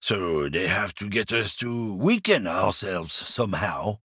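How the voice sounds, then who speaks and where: An actor playing a boastful, crude, bigoted middle-aged lorry driver in a video game, recorded on a dry studio mic. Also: male voice, deep voice, big pitch swings, measured pace, fairly bright tone, husky, dry recording, heavily acted. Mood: sneering.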